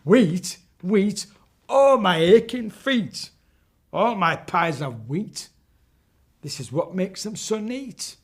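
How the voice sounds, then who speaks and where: A man's voice: high voice, lively intonation, slow pace, slightly rough, voice pushed up, heavily acted. A man voicing a greedy old female witch who gabbles her offers in a sing-song way.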